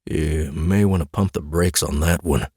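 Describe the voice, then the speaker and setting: A man's voice: very deep voice, steady tone, measured pace, mellow, husky, no room sound, clean studio sound, exaggerated voice. A man voicing a mild, befuddled middle-aged husband and father in a fantasy audiobook, hesitant and earnest. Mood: reassuring. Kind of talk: dramatic audiobook character dialogue.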